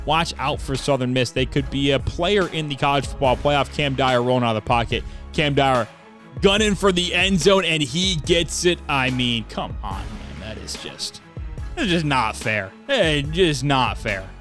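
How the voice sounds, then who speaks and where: A young man giving excited play-by-play commentary on video game football highlights, emphatic and cheering the big plays. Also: normal speed, faint music behind.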